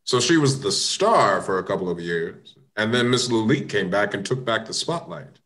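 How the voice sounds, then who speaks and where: A man playing a composed, probing American police inspector in an amateur radio mystery, questioning politely in measured phrases. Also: on the low side, animated, fairly bright tone.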